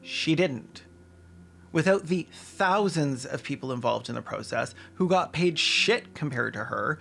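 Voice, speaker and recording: A man's voice: on the high side, fairly bright tone. A man delivering scripted commentary in a sardonic way, with dry asides and crude humor. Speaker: video essayist and host.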